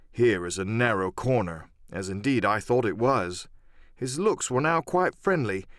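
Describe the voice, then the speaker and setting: A man's voice: medium pitch, dry recording, studio quality. A man narrating an adventure novel in a dramatic first-person storytelling style. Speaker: audiobook narrator and voice actor.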